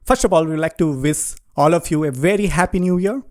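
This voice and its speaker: Polite, formal podcast host, dry sound. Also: male voice, on the high side, fairly quick, dry recording. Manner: formal, polite, addresses guest as sir. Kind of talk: scripted intro then interview questions.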